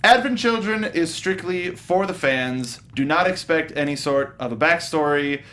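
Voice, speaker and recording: A man's voice: fairly high pitch, lively intonation, moderate pace, a bit bright. A man co-hosting a casual film review chat, speaking informally with a wry, geeky fan's manner. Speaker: co-host of a movie review show. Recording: clear background noise.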